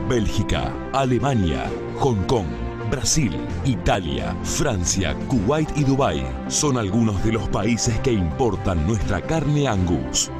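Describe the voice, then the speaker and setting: A man reading a scripted promotional voice-over in a measured, steady way, listing facts and figures. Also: fairly low pitch. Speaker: promotional narrator. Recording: voice-over with music bed. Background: music.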